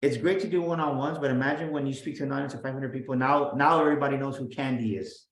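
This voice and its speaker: Energetic man, roomy echo. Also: mid-range voice, quick pace. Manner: energetic, emphatic, repeats words as he restarts. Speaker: guest speaker and business coach. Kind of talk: motivational interview talk.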